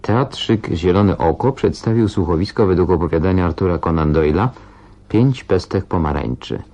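A man's voice: sits low, animated, normal speed, dark tone. A man working as a radio announcer, reading formal scripted credits.

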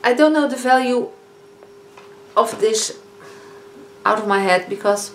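A woman in her fifties with a Dutch accent, chatting casually as a beauty vlogger reviewing products, often pausing on fillers and correcting herself. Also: a little high. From Netherlands. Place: living room, indoors. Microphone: camera in front of a seated speaker. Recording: echoey room with faint hiss.

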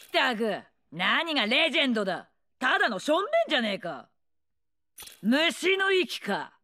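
An actress voicing a brash, bloodthirsty older female warlord in a video game, with rough slangy taunts, a snarling delivery and mocking, gleeful menace. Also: high-pitched, bright, forceful tone, full character voice. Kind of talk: video game character voice lines.

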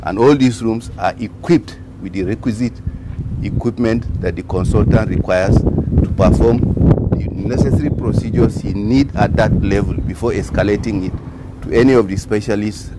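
Man briefing outdoors. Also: lowish voice. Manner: formal, measured explanation with fillers. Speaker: project contractor briefing officials. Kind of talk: on-site project briefing. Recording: outdoor traffic noise.

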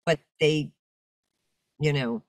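An older woman, a voice-over announcer and coach, talking casually in a video-call interview with a deep, commanding voice and a big, projecting manner. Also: takes it slowly, no room sound, clean studio sound. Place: home room with fur-draped chair.

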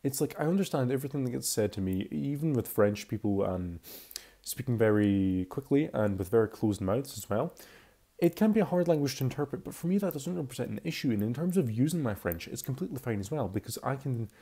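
A man's voice: mid-range voice, animated, fairly quick, dark tone, breathy edge. A twenty-year-old man with a Scottish accent, giving a casual, chatty and candid voice-over commentary. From Scotland. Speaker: video commentator.

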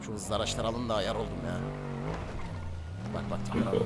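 A man's voice: lowish voice, brisk, gravelly, over loud music. A man streaming a roleplay game, chatting casually in slangy banter and bossing his crew around. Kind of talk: casual gaming livestream chat. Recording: game car engine noise behind voice. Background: video game vehicle sounds.

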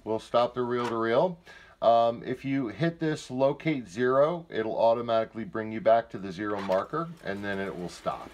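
A man's voice: mid-range voice, moderate pace, darkish tone, a little hiss or hum. A man giving a casual, plain-spoken product demonstration indoors, speaking informally from off camera.

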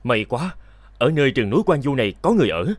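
A man playing a young travelling scholar in a folk-tale cartoon, expressive, with old-fashioned courteous speech. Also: medium pitch, fast, no echo. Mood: eager. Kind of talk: animated folk-tale dubbing.

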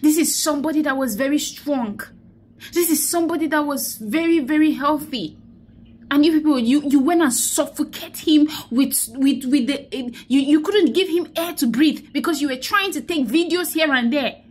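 A woman's voice: sits high, moderate pace, a little mellow. A young woman talks close into her phone indoors, grieving and then preaching, repeating her phrases for emphasis. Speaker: vlogger. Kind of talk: emotional selfie vlog, preachy. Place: indoors, small room. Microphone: phone held close to face.

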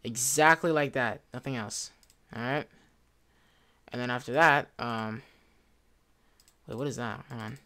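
A young man giving a casual software tutorial in an informal, rambling way, often correcting himself. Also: medium pitch, measured pace, gravelly, pauses often. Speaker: tutorial presenter. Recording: music behind, keyboard clicks. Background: music, computer typing and clicks.

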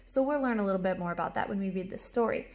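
A young adult woman reading a storybook aloud to children like a teacher, with animated, explanatory asides, recorded in a room at home. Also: medium pitch, racing. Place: small room at home, indoors. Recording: clear background noise, room ambience.

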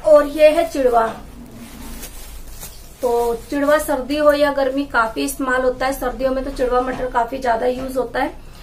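High-voiced chatty housewife vlogger. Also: female voice, fairly even intonation, moderate pace, slight background noise. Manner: chatty, explaining while handling jars and bags. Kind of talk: casual home vlog showing groceries. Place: small indoor room with tiled walls and wooden door.

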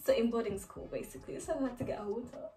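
Lively, teasing female guest in her twenties, animated delivery, low-pitched, heard from a distant camera in a roomy room. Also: racing, loud music behind the voice. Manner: lively, teasing, laughs often. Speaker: guest quizzing her sister. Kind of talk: casual chat, quiz game. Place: living room, indoors. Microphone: far camera.

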